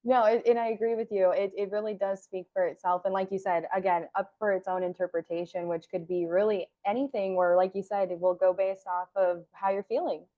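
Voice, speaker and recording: A woman's voice: mid-range voice, steady tone, a little fast, few pauses, dry recording. A woman interviewer asking careful, polite questions and affirming her guest. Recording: dull, lo-fi dry audio.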